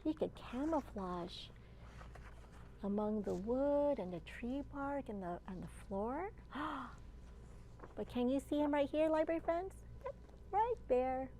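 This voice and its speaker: A woman with a high voice reads a children's picture book as a storytime librarian. She takes her time, spacing phrases deliberately and turning to her young listeners with questions. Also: expressive, dark tone, a little hiss or hum, rough recording.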